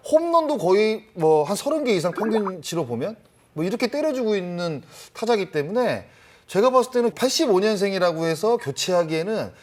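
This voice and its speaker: Casting: male pundit with a high-pitched, very expressive voice, arguing his picks on a sports panel. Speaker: baseball analyst. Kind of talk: unscripted sports panel talk.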